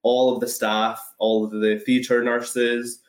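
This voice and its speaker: A man with a Scottish accent explaining things thoughtfully on a webinar, with measured, hesitant phrasing. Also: mid-range voice, little pitch movement. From Scotland. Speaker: guest, anaesthesiology and intensive care resident. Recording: echoey webinar call.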